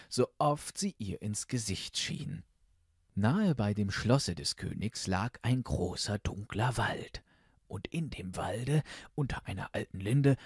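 A man's voice: lowish voice, darkish tone, breathy edge, dry recording, studio quality. A man narrating a fairy tale as an audiobook, reading expressively from a script.

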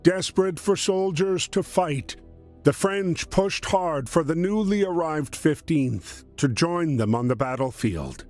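A man narrating a scripted dramatic story in a measured, solemn way. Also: fairly high pitch, lively intonation, darkish tone. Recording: music under the voice. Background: background music.

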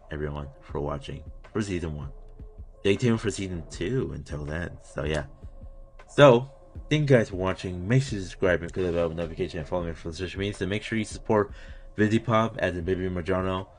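A man in his twenties giving casual, unscripted reaction commentary as a video host, rambling like an excited fan, recorded at home in a small room. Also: sits low, a little fast.

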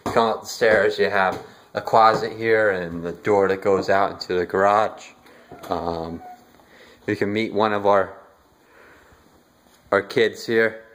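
A young man giving a casual walking tour of his house, relaxed and halting with lots of fillers, recorded on a handheld phone indoors. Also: on the low side, a little hiss or hum. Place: inside a house, walking room to room. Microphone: handheld phone camera, filming rooms.

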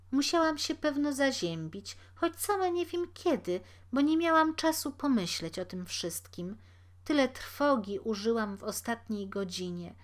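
A woman voicing a humble, sad, pious poor old woman in a storybook tale, speaking meekly and deferentially. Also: on the high side. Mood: weary, pious. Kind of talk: storybook character reading.

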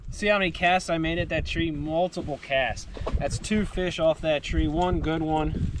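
A man in his thirties fishing from a boat, chatting excitedly to viewers and explaining his technique as he casts. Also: on the high side, moderate pace. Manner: excited, talks to viewers while casting and reeling. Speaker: fishing vlogger. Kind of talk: casual instructional commentary while fishing. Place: outdoors on a boat deck on a lake. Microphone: action camera mounted on the boat. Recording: loud wind and water noise outdoors. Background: wind and boat noise.

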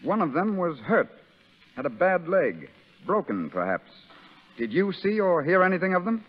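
A man's voice: sits high, big pitch swings, normal speed. A man playing a polite but firm army lieutenant in 1930s radio drama, formal and businesslike. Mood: brisk, official. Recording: dull 1930s radio recording, long reverb.

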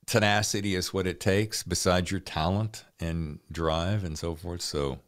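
A man's voice: low-pitched, steady tone, a little slow. A man hosting a podcast in casual interview style, talking in an effusive, encouraging way. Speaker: podcast host.

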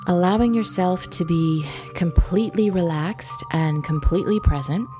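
A woman leading a guided visualization meditation in a gentle, soothing, instructive manner with long pauses. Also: on the low side. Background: background music.

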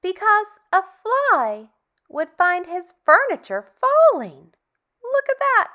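Lady doing storytime for little kids, super swoopy sing-song voice, takes it really slow. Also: very high voice, highs cut off. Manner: sing-song, exaggerated, animated enunciation. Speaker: storytime host for young children. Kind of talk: read-aloud for preschoolers.

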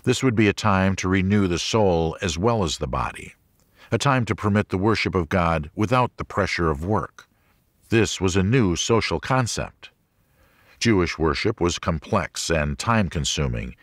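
A man reading a religious teaching audiobook as a narrator, in a measured, clearly enunciated manner. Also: sits low, expressive, no room sound, studio quality.

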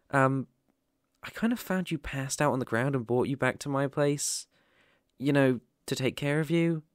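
A British man playing a gentle, protective lone werewolf in an ASMR roleplay, speaking haltingly and reassuringly into a close microphone. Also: medium pitch, dry recording, natural voice. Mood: calm, careful. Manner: soft-hearted, reassuring, halting and pausing. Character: gentle, protective male lone werewolf living in a forest cabin.

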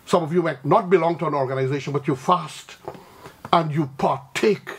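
Husky, effusive older Guyanese man. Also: on the high side, moderate pace. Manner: effusive, florid praise. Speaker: religious community representative. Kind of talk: studio panel discussion. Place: TV studio.

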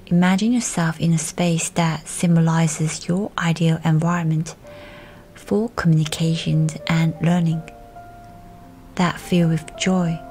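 A woman reading a scripted guided meditation in a soft, soothing, breathy delivery. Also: deep voice, fairly even intonation, a little slow. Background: music.

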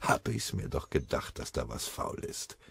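A man's voice: extremely low pitch, very animated, unhurried, creaky, husky, exaggerated voice. A man playing a cocky, clever army combat engineer in a war audiobook, with a cheeky, casual way of talking. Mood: self-assured.

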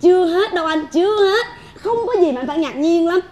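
A woman's voice: very high voice, lively intonation, fast talker. A woman playing a brash, boastful, scolding middle-aged mother-in-law in a stage comedy, with a southern Vietnamese accent and an exclaiming, theatrical delivery. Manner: theatrical, exclaiming, repeats herself for emphasis. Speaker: comic stage actress. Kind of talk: broad stage comedy acting. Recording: live stage with loud audience.

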